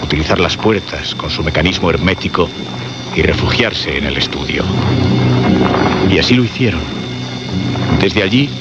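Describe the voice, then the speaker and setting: A man giving solemn, suspenseful horror narration with dramatic pauses and repetition. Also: low voice. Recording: muffled radio recording with music behind.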